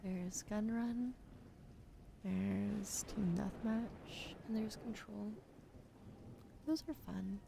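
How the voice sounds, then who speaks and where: A sleepy woman streaming ASMR with a sore throat, switching between soft whispers and casual chat about games into a close microphone. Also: medium pitch, drawn-out words, gravelly, long pauses. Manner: soft whispering between chatty gaming talk. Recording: noisy stream audio.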